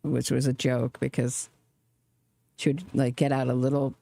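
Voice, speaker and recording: Gravelly-voiced woman doing sardonic trial commentary. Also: a bass voice, little pitch movement, moderate pace, warm tone. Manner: chatty, sardonic, laughs often. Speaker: true crime commentator. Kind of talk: casual live trial commentary.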